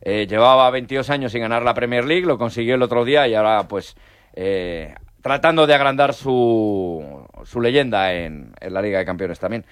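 A man from Spain hosting a sports talk show, talking in a measured way with frequent hesitations. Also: medium pitch, clean tone, dry recording. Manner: measured, hesitant with many fillers. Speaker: host.

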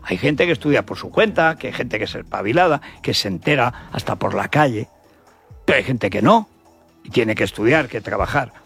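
An older man from Spain delivers a radio monologue in a mid-pitched voice, with music audible behind him. He sounds indignant and colloquial, arguing through rhetorical questions in his Spanish accent. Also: animated, moderate pace, gravelly, a bit bright, slightly rough. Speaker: radio commentator and host.

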